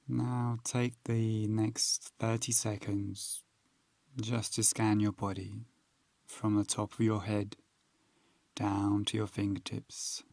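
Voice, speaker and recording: Calm guy doing a guided meditation, lowish voice, really dry close sound, gentle instructions with big pauses. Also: vocal fry, dry recording.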